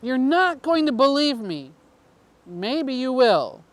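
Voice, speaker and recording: A woman voicing a secretive, persuasive male politician in an audiobook, with measured, rhetorical phrasing. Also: sits high, very animated, no echo, full character voice. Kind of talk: audiobook character dialogue.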